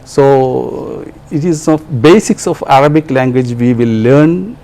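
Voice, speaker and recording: A man from Kerala speaks English with a Malayali accent, his voice mellow and warm. He answers interview questions haltingly, leaning on fillers and repeating words as he restarts sentences. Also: mid-range voice. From Kerala, India. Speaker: translator being interviewed.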